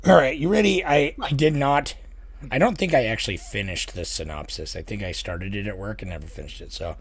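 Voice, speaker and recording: Raspy, laid-back podcast guy. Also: lowish voice, brisk, no echo. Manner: laid-back, swears, laughs often. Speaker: podcast co-host. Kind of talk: casual chat.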